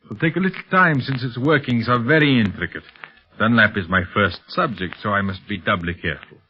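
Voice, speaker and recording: Deep, husky, gloating criminal mastermind. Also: male voice. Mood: coldly scheming. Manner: pompous, deliberate formal diction with mocking chuckles. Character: menacing male criminal mastermind, gloating, coldly superior. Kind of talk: 1930s radio serial acting. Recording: muffled, fuzzy 1930s radio recording.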